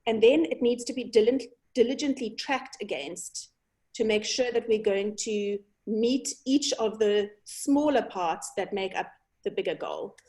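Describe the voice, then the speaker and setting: A woman, a founder giving a measured conference talk over a video call, trailing off quietly at the ends of phrases. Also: medium pitch.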